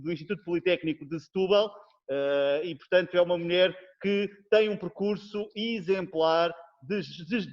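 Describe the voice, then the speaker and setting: A man hosting a web conference, moving quickly between speakers with jokes. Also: high voice. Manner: jokey, quick to hurry speakers along. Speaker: conference host. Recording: muffled web-call audio.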